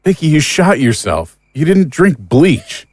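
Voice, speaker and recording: A man's voice: on the high side, big pitch swings, measured pace, a little mellow, slightly rough, dry recording, studio quality, exaggerated voice. A man playing an earnest, troubled man in a naturalistic audio drama. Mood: alarmed, pleading. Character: earnest, troubled man pleading with a lost love.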